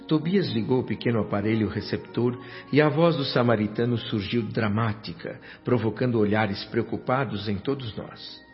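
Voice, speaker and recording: An actor playing an earnest, eager, humble newcomer in a Brazilian radio drama, speaking formally and expressively. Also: male voice, fairly low pitch, normal speed, few pauses, lightly acted. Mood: fulfilled, reflective. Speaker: lead character and narrator of a radio drama. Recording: dull lo-fi radio recording, music behind.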